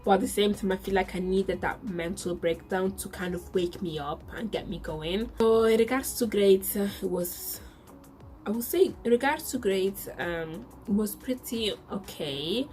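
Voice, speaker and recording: A woman's voice: mid-range voice, normal speed, a little mellow, soft background music. A woman in her twenties with an Italian accent, whose first language is Italian, telling a casual story to camera in a chatty way that repeats itself. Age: 20s. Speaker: vlogger.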